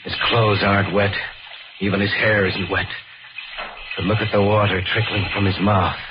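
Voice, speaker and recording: An actor playing an earnest investigator in an old-time radio mystery, speaking gravely and deliberately. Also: male voice, fairly low pitch, flat delivery, very noisy, natural voice. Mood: urgent, grave. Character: earnest male investigator narrating an eerie mystery. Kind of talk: old-time radio drama acting and narration. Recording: muffled vintage radio broadcast, music behind.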